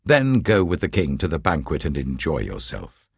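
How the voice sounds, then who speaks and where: A British man voicing scheming, cruel advisers in an audiobook, brisk and insistent. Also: rumbling low, huge pitch swings, vocal fry, husky, dry recording, studio quality, full character voice. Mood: scheming, eager. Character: scheming wife and friends urging cruelty.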